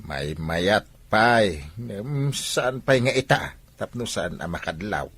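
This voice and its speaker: Old filipino dad in a radio drama, low rough voice, hesitant but plotting. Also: male voice, animated, slow, a bit bright, heavily acted. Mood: coaxing. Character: older Filipino father, hesitant, conspiring advisor. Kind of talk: Filipino radio soap opera acting.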